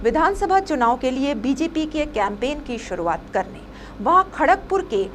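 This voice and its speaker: A woman's voice: high-pitched, very animated, normal speed. A woman reading a scripted news report as a presenter, with some noise behind her.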